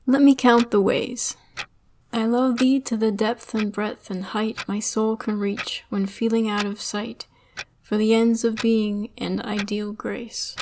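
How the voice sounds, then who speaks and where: A woman reads romantic verse as a dramatic recitation. Her voice is on the high side and her delivery is very slow. A faint noise sits under the recording. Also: a little mellow. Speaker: poetry reader. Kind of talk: slow dramatic reading of classic love poetry.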